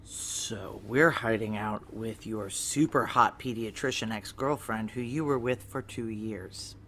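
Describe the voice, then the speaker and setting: A woman's voice: extremely low pitch, unhurried, fairly bright tone, slight background noise. An actress playing a wry, guarded, jealous woman on the run, in dry, clipped noir audio drama dialogue and inner monologue.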